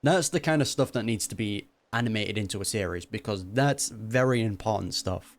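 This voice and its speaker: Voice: mid-range voice, normal speed. A streamer giving casual, musing, chatty commentary while reacting to a video game.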